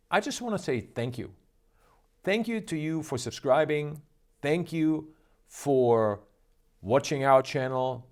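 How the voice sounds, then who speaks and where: Upbeat man hosting a trading show. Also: medium pitch. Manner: upbeat, salesy, emphatic. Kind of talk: energetic market commentary.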